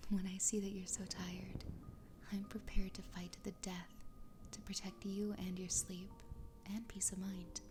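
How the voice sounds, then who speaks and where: A woman's voice: medium pitch, lightly acted. Fox girl wife, played by a woman: obsessively loving, soothing intimate whisper for ASMR roleplay, close-miked over noticeable ambient noise. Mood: tender, doting. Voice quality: breathy, whispered. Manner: slow, intimate, soothing, doting. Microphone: close ASMR microphone.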